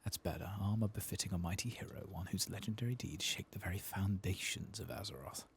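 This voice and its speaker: Hushed, formal fantasy merchant, voiced by a man. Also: low voice, fast, creaky, slight background noise. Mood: brisk, gracious. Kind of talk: soft-spoken read-aloud of game dialogue.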